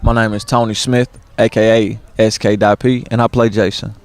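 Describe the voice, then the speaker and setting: A man's voice: lowish voice, little pitch movement, moderate pace. A young man, an actor, chatting casually in a laid-back way with slang and many uhs. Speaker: stage actor. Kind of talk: casual interview.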